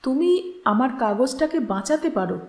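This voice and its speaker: A woman storyteller voicing a frank, idealistic man in a measured, matter-of-fact way. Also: high-pitched, lively intonation, darkish tone, echoey room. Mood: calm, frank.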